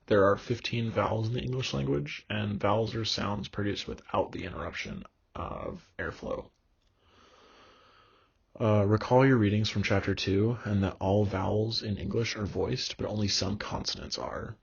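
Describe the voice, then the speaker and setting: A young man delivers a recorded lecture in a slightly low, gravelly and husky voice. He explains things in a measured way, filling pauses with ums and uhs. The recording is dull and lo-fi.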